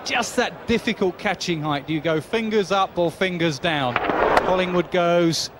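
A man's voice: high voice, moderate pace, heavy background noise. A male cricket commentator giving analytical, conversational TV commentary from a commentary box. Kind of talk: TV sports commentary.

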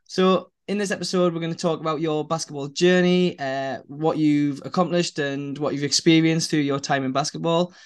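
Male podcast host, slightly high voice, halting questioner on video call. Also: fairly even intonation, clean tone. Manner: stumbling restarts, asks questions. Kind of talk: interview podcast. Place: small room indoors.

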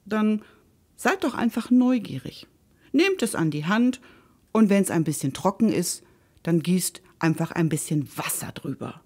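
A woman's voice: fairly low pitch, sing-song, unhurried, a little mellow. A woman hosting and reading a scripted children's story expressively, speaking directly to her listeners. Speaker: storyteller and host.

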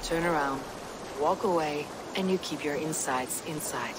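A woman's voice: low voice, unhurried. An actress playing a stern, fearless Viking warrior woman in a game cutscene, terse and menacing. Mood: cool, firm. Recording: voice over noisy game ambience.

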